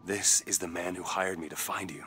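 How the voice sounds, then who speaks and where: Blunt, haunted mercenary for a video game. Low voice, gruff and world-weary. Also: male voice, fairly even intonation, moderate pace, sharp tone, faint music behind. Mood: gruff, wary. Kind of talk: video game character acting.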